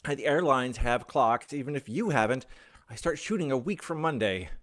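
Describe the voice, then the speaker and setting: An actor playing a cocky, impatient, opinionated theater director in a radio play. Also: male voice, medium pitch, lively intonation, a bit bright, close to an everyday voice. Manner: brisk, opinionated, teasing.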